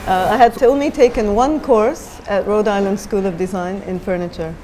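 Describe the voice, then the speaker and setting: A woman's voice: medium pitch, normal speed, mellow, a little hiss or hum, poor audio. A middle-aged woman from Japan, a designer talking as a guest in an onstage interview, thoughtful and hesitant with many fillers.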